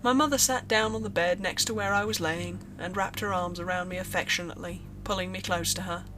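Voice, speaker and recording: A woman's voice: on the low side, steady tone, a little fast, poor audio. A woman reading a scripted horror story as narrator, in first person. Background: music.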